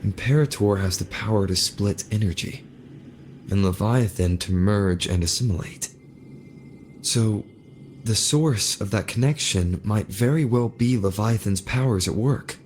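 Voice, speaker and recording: A man voicing a calm, serious young hero in a video game, thoughtful and inquiring. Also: lowish voice, a little slow, slight background noise, lightly acted.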